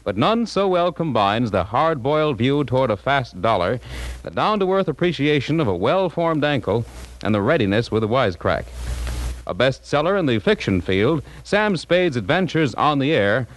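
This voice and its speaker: Lively old-time radio announcer man. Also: mid-range voice, normal speed, poor audio. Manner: smooth promotional delivery.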